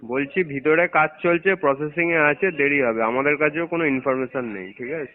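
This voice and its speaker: Terse, fast man on phone. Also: fairly high pitch. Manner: terse, noncommittal. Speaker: office staffer answering phone. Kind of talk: brief official phone replies. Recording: lo-fi recorded phone call, hissy.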